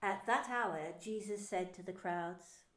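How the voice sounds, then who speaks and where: A woman minister narrating scripture in an expressive, deliberate way. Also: medium pitch. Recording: echoey room.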